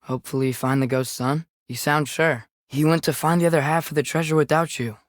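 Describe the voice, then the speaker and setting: A young man plays an earnest American teenage boy, a curious game companion with a fairly high voice. He talks casually and youthfully, often questioning and suggesting, in a close, dry recording. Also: steady tone, fairly quick, no echo, close to an everyday voice. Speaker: video game companion character. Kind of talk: scripted game dialogue, conversational.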